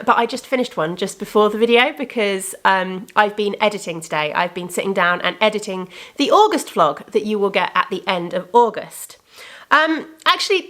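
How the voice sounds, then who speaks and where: A woman's voice: fairly high pitch, pushed and bright. A young adult woman hosting her crafting podcast in casual chat, rambling and correcting herself, and slightly congested from a cold. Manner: chatty and rambling, with frequent self-corrections. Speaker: podcast host and indie yarn dyer. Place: room with bookcases of yarn behind her.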